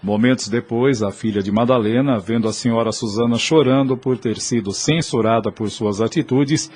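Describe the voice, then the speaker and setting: A man with a Brazilian accent narrating a scripted audio drama in a husky voice. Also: mid-range voice, moderate pace, a little mellow.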